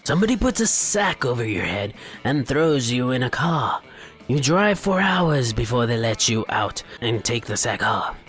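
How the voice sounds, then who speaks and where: Animated man narrating a game playthrough. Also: mid-range voice, normal speed, soft background music. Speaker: gaming video host. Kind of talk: game playthrough narration, reading aloud with casual commentary.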